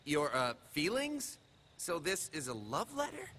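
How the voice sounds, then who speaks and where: A man's voice: fairly high pitch, wildly swooping pitch, a little slow, bright, forceful tone, a little hiss or hum, exaggerated voice. A man voicing a cocky, bemused punk assassin hero in a video game, with a wry, casual delivery. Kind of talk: video game cutscene acting. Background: music.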